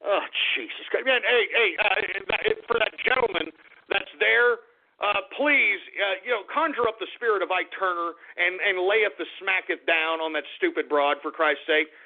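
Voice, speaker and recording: A man's voice: high voice, normal speed. A male talk radio host ranting angrily and profanely at callers. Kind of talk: call-in talk radio rant. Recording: tinny lo-fi radio audio.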